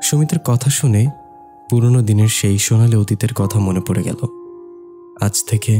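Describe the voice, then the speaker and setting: A low-voiced young village man, played by a male voice actor, loyal and nostalgic. He narrates a cartoon tale with sentimental, measured phrasing and a soft, mellow tone, with music behind him. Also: close to an everyday voice. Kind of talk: dramatized story narration in an amateur cartoon.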